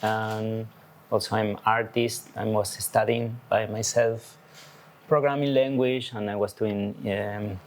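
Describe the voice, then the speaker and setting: A man from Argentina explains ideas to an audience in a slow, halting way, often stopping to search for the right word and restarting. His voice sits a little low for a man. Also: steady tone. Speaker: artist with a biology background giving a talk. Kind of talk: presenting a talk to an audience.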